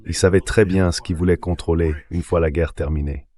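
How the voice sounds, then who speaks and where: This is a synthetic man's voice with a low pitch. It delivers a guest commentator's answers as a translated interview voice-over, reading political analysis. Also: fairly even intonation, fairly quick, a crowd in the background.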